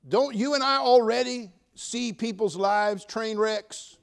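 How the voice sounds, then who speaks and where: High-pitched preacher in his seventies. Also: takes it slowly, creaky. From United States. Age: 70s. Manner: folksy, emphatic, swinging from loud exhortation to quiet joking asides. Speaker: Baptist preacher. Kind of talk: sermon.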